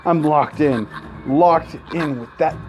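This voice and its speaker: A man's voice: a little high, takes it slowly. A man reading a horror game aloud in a dramatic style as a let's play host, breaking off for crude, profane, mocking asides. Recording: music behind the voice. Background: game music.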